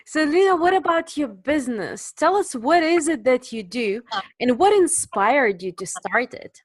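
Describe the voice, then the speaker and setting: A woman's voice: high voice, lively intonation, measured pace, dry recording. A woman hosting a conversational business podcast, putting questions to a guest. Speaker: podcast host and interviewer.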